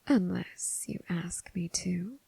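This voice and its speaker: A woman voicing a seductive, predatory female demon in a teasing, whispered ASMR roleplay, speaking slowly and intimately to the listener. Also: fairly low pitch, dark tone, dry recording, exaggerated voice. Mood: commanding, seductive. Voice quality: breathy, mostly whispered. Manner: slow, deliberate, teasing, coaxing.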